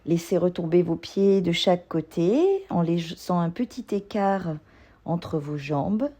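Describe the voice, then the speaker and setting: A woman yoga instructor leading a guided relaxation meditation, giving gentle, soothing instructions. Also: fairly low pitch, lively intonation, measured pace.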